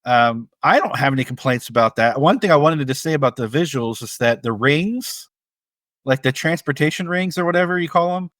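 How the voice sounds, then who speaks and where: A man co-hosting a casual film discussion, speaking with measured, tentative phrasing. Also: a little high, animated, fairly bright tone, no echo, studio quality. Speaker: podcast co-host.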